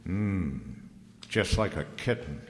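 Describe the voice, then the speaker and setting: An actor playing a wry, laconic private detective in an old-time radio noir drama, slangy and dry. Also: male voice, deep voice, slow. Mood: casual, probing. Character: wry, hardboiled male private detective narrator. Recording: reverberant hall, music underneath.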